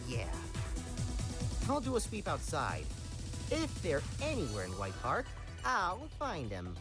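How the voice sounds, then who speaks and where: A woman voicing a breezy, wry young hero in an animated comic dub, with music behind. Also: deep voice, slow, heavily acted. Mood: resolute. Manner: casual, wry. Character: breezy, cocky young hero, casual and sardonic.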